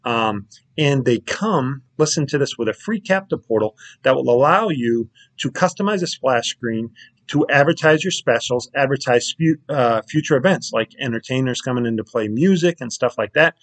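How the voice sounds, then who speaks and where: Gravelly-voiced man walking through a tech tutorial. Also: medium pitch, expressive, moderate pace. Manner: casual, plain-spoken, checks in with "okay?" and "right?". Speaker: security installer giving a tutorial. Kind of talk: screen-share tutorial.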